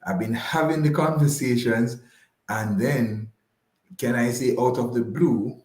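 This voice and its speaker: A man speaking as an interview guest, measured and courteous, with slightly formal wording. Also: medium pitch, darkish tone, echoey room. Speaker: interview guest, financial advisor. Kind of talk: conversational radio interview.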